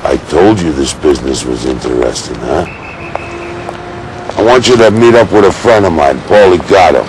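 An actor playing a gruff, menacing middle-aged mafia enforcer in a video game. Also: male voice, rumbling low, lively intonation, moderate pace, slight background noise, poor audio. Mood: brusque. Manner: slangy tough-guy mobster talk. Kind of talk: video game cutscene acting. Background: music, car sounds.

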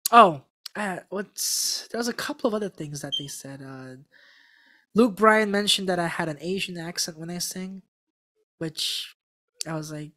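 A man's voice: high voice, a little slow. A young man of Korean background, a podcast guest, telling a story in casual talk full of restarts, close to a studio mic in a small room. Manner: animated storytelling with many restarts. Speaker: podcast guest, former contestant. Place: small room with a gray backdrop. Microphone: studio mic on a stand in front.

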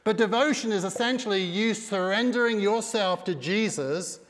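A middle-aged pastor preaches with emphatic energy, his voice pitched high for a man. He speaks into a headset mic, and the big church hall rings with a long reverb behind him. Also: normal speed, a bit bright. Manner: emphatic preaching with broad hand gestures. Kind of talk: sermon. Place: large dark church stage.

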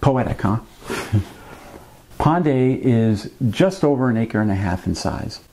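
A man in his fifties hosting a fishing vlog, explaining things to camera in a conversational, measured way with wry asides. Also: fairly low pitch, animated, mellow. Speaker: fishing channel host. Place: small indoor room at a desk with a computer.

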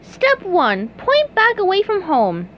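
A woman voicing an eager, clueless, excitable cartoon sponge boy in a storybook reading, with noise behind the voice. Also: very high voice, slow pace, muffled recording, pitched above the performer's own voice, heavily acted.

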